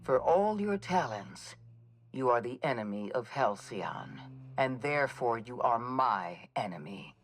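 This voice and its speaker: Game antagonist: stern ruthless commander, husky breathy tone, formal and coolly menacing. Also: female voice, very deep voice, very animated, a little slow, exaggerated voice. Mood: stern, resolute. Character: cold, stern military commander, weary and ruthless. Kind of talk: video game villain monologue.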